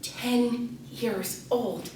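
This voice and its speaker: Intense, falsely sweet female grade-three teacher acted by a woman, stage monologue to children, dramatic pauses, heard from afar in a reverberant room. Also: on the high side, a little mellow. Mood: urgent, alarmed. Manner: over-enunciated, addressing children, dramatic pauses. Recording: echoey room, distant mic, faint noise.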